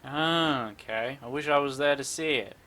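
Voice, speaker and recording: A woman's voice: very deep voice, normal speed, a bit bright, a little hiss or hum. A woman giving casual game playthrough commentary as a streamer, with dry, sarcastic banter.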